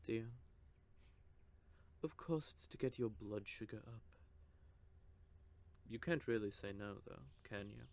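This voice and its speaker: A man's voice: lowish voice, slow, long pauses, a little mellow. A man voicing a teasing, possessive male vampire in an audio roleplay, with a smug, drawling manner. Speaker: voice actor.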